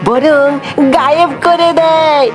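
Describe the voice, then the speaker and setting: A woman voicing a naive, lazy male fox in a cartoon, chattering excitably and repeating words in a whiny, eager way. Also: high voice, takes it slowly, music in the background. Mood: dismayed, determined. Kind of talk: Bangla cartoon voice acting.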